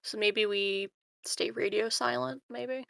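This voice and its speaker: A woman's voice: on the high side, steady tone, slow pace, vocal fry, a bit bright, dry recording, studio quality. A woman playing a tabletop roleplaying game, chatting casually with her group. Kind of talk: casual chat.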